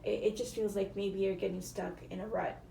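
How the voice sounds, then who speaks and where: A woman with a hoarse, cracking voice, giving a casual tarot reading to viewers and often apologizing. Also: medium pitch, flat delivery, a little fast, mellow, reverberant, a little hiss or hum, rough recording. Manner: chatty, apologetic, hedging with fillers. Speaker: tarot reader.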